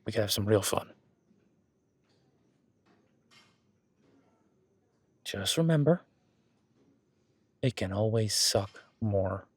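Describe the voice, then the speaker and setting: A man's voice: lowish voice, animated, measured pace, breathy edge, no echo. A man playing a friendly, easygoing werewolf boyfriend who coaches his date at the gym in a casual, encouraging, rambling roleplay audio. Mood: teasing. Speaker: gym partner giving workout guidance. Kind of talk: roleplay audio drama, one-sided casual conversation.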